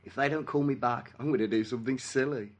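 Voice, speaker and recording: A man voicing a sultry female pop diva lying in a bath, in a low, breathy, mock-seductive way. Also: fairly even intonation, fast, very noisy, lightly acted.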